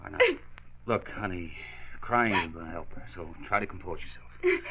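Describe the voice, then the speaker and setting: An actor playing a steady, comforting but worried husband and father in a 1940s radio drama. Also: low voice, normal speed, heavy background noise. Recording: thin, phone-like vintage radio recording.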